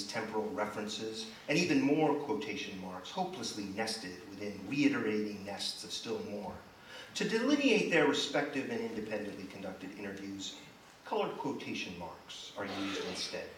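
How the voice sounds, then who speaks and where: Echoey, distant man giving an animated stage reading. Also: on the low side, moderate pace. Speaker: reader in a live staged reading. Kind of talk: scripted literary narration performed on stage. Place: live theater performance. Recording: echoey hall, distant, faint noise.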